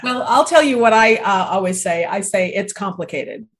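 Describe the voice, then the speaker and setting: An upbeat, enthusiastic woman hosting a podcast, opening with a scripted intro and moving into relaxed interview chat. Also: mid-range voice, normal speed. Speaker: podcast host. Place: island home in Mexico.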